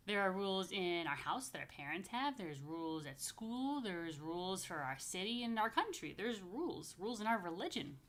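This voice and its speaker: A woman's voice: fairly low pitch, a bit bright. A woman rabbi leading a casual story time for young children, explaining things simply and repetitively with chatty, playful asides. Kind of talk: casual, child-friendly religious talk with blessings. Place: apartment.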